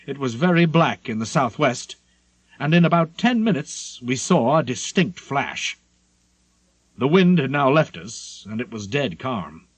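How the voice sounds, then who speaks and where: A man narrating a literary memoir as an audiobook, in a measured, expressive storytelling manner. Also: mid-range voice, husky, dry recording.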